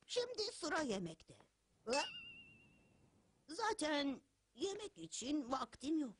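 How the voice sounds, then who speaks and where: A woman voicing a boastful, scheming boy genius in a dubbed cartoon, grandiose and excitable. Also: high-pitched, slow, noisy background, exaggerated voice. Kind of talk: cartoon dub acting. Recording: music behind the voice.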